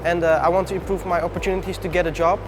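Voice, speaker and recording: A man's voice: high voice, level tone, racing, noisy background. A man in his thirties from the Netherlands, a pilot trainee giving an earnest interview testimonial with frequent uhs. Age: 30s.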